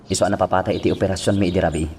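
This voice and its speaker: A man's voice: low voice, steady tone, rapid-fire, darkish tone, slight background noise, natural voice. A man acting an official, authoritative police chief in a radio drama. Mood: formal, reporting. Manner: formal, authoritative.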